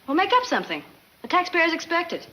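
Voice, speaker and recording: An actress playing a pushy, brisk American woman in a 1940s crime serial, speaking insistently. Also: very high voice, very animated, sharp tone, exaggerated voice, rough recording. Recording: 1940s film soundtrack with faint noise.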